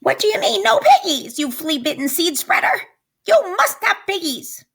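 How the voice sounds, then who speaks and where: Woman doing a screechy, high-pitched storybook witch. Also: sing-song, moderate pace, vocal fry, a bit bright, exaggerated voice. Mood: furious, screeching. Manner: bossy, insulting, demanding. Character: irascible, greedy, scheming witch, shrieking when thwarted. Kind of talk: animated storybook character voice.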